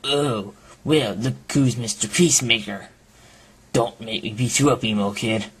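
A sarcastic teenage cartoon schoolboy, voiced by a man, with a husky edge and a middling pitch. He talks casually and bickers in an offhand way. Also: measured pace. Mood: irritated. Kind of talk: amateur animated comedy dialogue.

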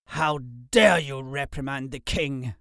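A man's voice: mid-range voice, a little slow, darkish tone, dry recording, clean studio sound, lightly acted. A man voicing an arrogant, contemptuous English lord with a haughty, sneering delivery in an audiobook. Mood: haughty reproach. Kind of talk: audiobook character acting.

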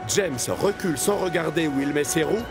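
A man's voice: on the high side, brisk, creaky, over music. A man narrating a scripted children's story.

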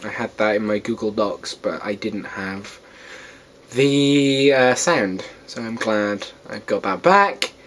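A man's voice: fairly low pitch, expressive, slow pace, fairly bright tone, a little hiss or hum. A seventeen-year-old man from Wales rambling casually to a webcam in his bedroom, in a self-deprecating way. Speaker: vlogger. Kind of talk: casual rambling vlog to camera. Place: small bedroom, indoors.

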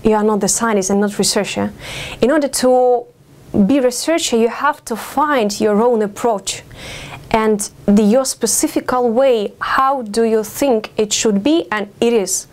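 A young woman in her twenties from Ukraine with a Ukrainian accent, giving thoughtful interview answers as a doctoral exchange student and pausing often with fillers. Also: a little high, a little mellow. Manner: thoughtful, many fillers and pauses. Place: studio with campus backdrop.

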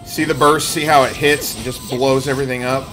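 A man's voice: mid-range voice, steady tone, fairly quick, low-quality recording. Middle-aged man streaming over game music. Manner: explanatory, talking through play to viewers. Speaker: gaming streamer. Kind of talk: casual live gameplay commentary. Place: indoor room at home. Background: video game music.

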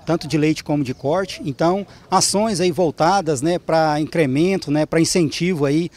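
A man's voice: fairly high pitch, moderate pace, a little mellow. A man explaining in an interview outdoors at a cattle fair, with frequent fillers. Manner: explanatory, filler-heavy talk. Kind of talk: interview answer.

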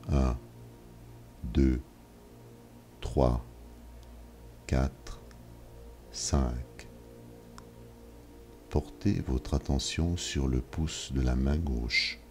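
A man leading a yoga nidra session, giving calm, measured instructions with long pauses. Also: a bass voice. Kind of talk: slow guided relaxation. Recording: soft music behind. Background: soft ambient music.

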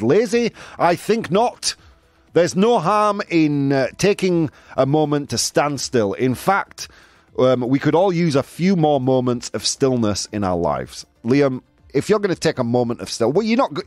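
A man's voice: medium pitch, no echo. A man hosting a casual live talk show, jokey and incredulous as he reacts to listener comments. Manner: animated, jokey, incredulous, punctuates talk with "dude".

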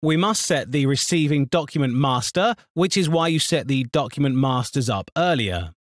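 A man narrating a scripted software tutorial in an instructional, step-by-step manner. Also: medium pitch, animated, normal speed, dry recording, clean studio sound.

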